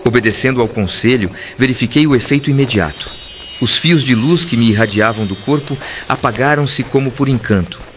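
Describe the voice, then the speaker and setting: A man's voice: medium pitch, a little fast, background noise. A man narrating an audiobook in the first person, in a formal, literary and solemn manner.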